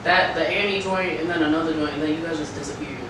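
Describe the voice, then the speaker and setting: A woman's voice: low voice, fairly even intonation, fast talker. An American woman interviewing someone in an informal, slangy, conversational way. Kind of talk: casual interview chat. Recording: heavily echoey, distant mic, noisy.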